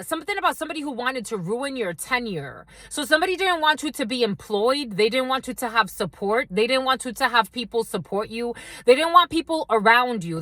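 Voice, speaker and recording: Woman tarot reader, higher voice, rambling intuitive delivery, dry close mic. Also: pushed and bright, dry recording. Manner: rambling, intuitive, addresses viewers directly. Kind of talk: channeled tarot reading.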